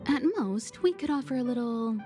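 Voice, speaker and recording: A woman voicing a calm, elegant, gently teasing mentor in a video game. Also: a little high, normal speed, natural voice. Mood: serene, reassuring. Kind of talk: English video game voice acting. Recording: voice over game music.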